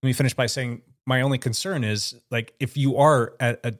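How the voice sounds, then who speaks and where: A man talking quickly in an easygoing podcast chat. He hedges and loops around his point, often turning it into a question. His voice sits at an everyday middle pitch. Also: steady tone. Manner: thinks aloud, hedging, rambling questions. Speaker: podcast co-host. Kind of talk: casual roundtable chat.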